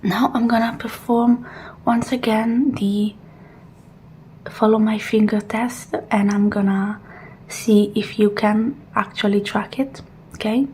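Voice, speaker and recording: A woman doing an ASMR roleplay as a technician, speaking softly and gently in murmured reassurances and instructions, often slipping into a breathy whisper. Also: medium pitch, little pitch movement, takes it slowly, slight background noise. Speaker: ASMR roleplayer playing a technician who examines a robot. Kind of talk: ASMR roleplay, soft personal attention.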